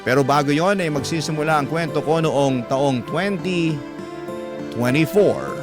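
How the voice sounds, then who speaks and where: A man narrating as a radio drama host, reading a scripted story in measured, earnest phrasing. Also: a little high. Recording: music behind the voice.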